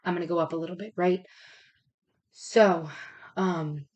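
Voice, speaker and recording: A woman with a raspy voice that is giving out, talking casually as a fitness coach and explaining step by step in an informal, rambling way. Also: lowish voice, fairly even intonation, unhurried, darkish tone. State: raspy, losing her voice.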